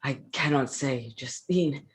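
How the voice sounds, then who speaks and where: A woman playing an anguished, stubborn young scientist in a staged gothic reading, intense and halting. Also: very deep voice, slightly rough, exaggerated voice. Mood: evasive, troubled. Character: Victor, anguished, grief-stricken young scientist, stubborn and wild.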